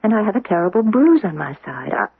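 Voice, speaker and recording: An actress playing a sweet, confused young woman with a fogged memory in a 1970s radio drama, speaking in a breathy, wondering, faltering way. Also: medium pitch, sing-song, quick pace, dry recording, exaggerated voice. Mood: puzzled. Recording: muffled, narrow-band old radio broadcast.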